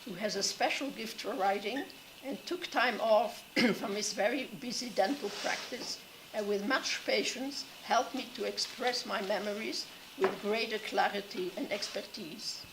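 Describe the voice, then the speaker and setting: A woman's voice: mid-range voice, noisy background. Older woman reading her memoir aloud. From Belgium. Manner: measured, frequent throat clearing. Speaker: Holocaust survivor speaker. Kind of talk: reading a prepared memoir talk. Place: classroom.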